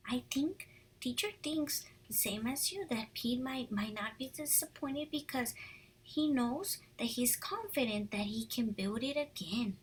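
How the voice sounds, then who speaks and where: A woman teaching young children through a picture-book read-aloud, animated and encouraging, pausing to ask questions, with a husky, breathy voice. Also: a little high, slight background noise, poor audio.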